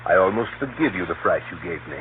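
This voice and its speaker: A man's voice: low-pitched, expressive, quick pace. Genial old clubman, tinny vintage radio. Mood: amused relief. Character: genial older club gentleman, respectable, affably indignant uncle. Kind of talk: 1930s radio acting. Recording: noisy, tinny 1930s radio broadcast.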